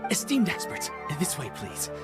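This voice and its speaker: A woman's voice: fairly low pitch, huge pitch swings, normal speed, over music. A woman playing a polite, orderly usher in a video game, formal in manner. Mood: courteous.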